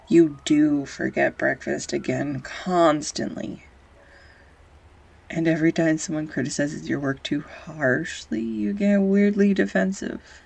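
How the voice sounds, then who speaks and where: A woman playing a grumpy, sarcastic young art student who shyly confesses love in an intimate romantic audio roleplay, halting and wry. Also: deep voice, gravelly, breathy edge, full character voice. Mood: indignant, wry. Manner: halting, wry, flustered, sighs and soft laughs. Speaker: voice actor.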